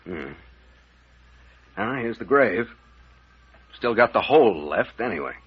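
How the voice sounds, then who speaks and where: Husky, brusque captain. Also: male voice, on the low side, very animated, a little slow, full character voice. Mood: sardonic. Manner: brusque, sardonic, authoritative. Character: brusque, commanding male captain investigating mysteries. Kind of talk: old-time radio mystery serial acting. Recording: muffled old radio recording.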